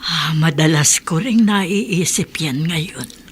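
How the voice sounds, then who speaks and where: An actress playing a gentle, concerned older mother of a soldier in a radio drama, with a husky, breathy voice. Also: low-pitched, takes it slowly, slight background noise. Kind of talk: Tagalog radio drama acting.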